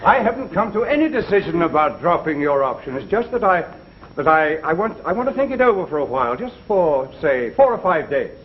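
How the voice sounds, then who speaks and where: An actor playing an evasive, placating sponsor executive in 1950s broadcast comedy, hesitant and stammering, on an old recording. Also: male voice, a little high, very animated, fairly quick, slight background noise. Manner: hesitant, stammering, smooth-talking. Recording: muffled vintage broadcast with audience.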